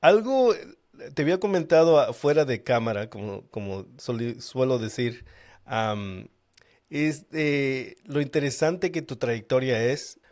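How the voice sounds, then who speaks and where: A man's voice: medium pitch, unhurried, a little mellow. A man hosting a casual interview, asking questions a little hesitantly as he searches for words. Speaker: podcast host, interviewer.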